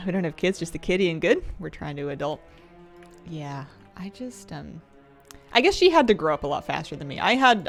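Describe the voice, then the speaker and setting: A woman in her thirties chatting casually while she plays a game, rambling and halting with restarts and soft laughs. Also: deep voice, vocal fry. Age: 30s. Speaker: streamer. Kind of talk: casual chat while gaming.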